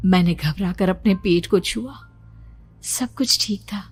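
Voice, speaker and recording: A woman storyteller narrating a horror tale in the first person, deliberate, theatrical and full of suspense. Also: medium pitch, darkish tone, breathy edge.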